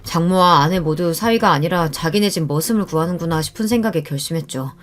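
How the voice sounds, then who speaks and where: A woman's voice: lowish voice, fast talker, lightly acted. A woman voicing an earnest, polite adult son who is weary of his marriage, in a radio-style story. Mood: weary resolve.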